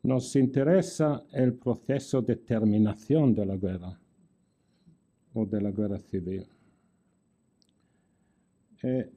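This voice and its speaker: A man's voice: medium pitch, mellow, highs cut off. An older man with an Italian-tinged accent giving a formal lecture in careful non-native Spanish, with wry asides and restarted words. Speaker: guest lecturer. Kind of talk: formal lecture with dry jokes.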